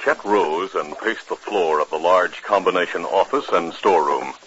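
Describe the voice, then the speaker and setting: A man's voice: low voice, moderate pace, fairly bright tone. An American man as a 1950s radio announcer, reading scripted narration with dramatic, emphatic delivery. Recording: muffled, noisy old 1950s radio recording.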